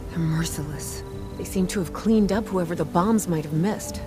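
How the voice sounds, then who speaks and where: A woman's voice: on the low side, normal speed, over music, close to an everyday voice. A woman playing a scrappy, friendly young treasure hunter in a video game, with a casual, earnest and slightly hesitant delivery. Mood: frank, helpful. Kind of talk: naturalistic video game dialogue.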